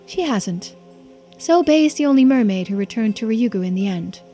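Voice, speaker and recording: Cryptic, matter-of-fact lore keeper for a game, female performer; composed, deliberate, faint music. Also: fairly high pitch, expressive, warm tone, close to an everyday voice. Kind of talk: video game character voicing.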